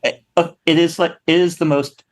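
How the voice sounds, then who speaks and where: A man speaking as an interview guest, telling stories casually with a halting, wry, humorous delivery. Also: a little high, little pitch movement. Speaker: guest playwright and actor.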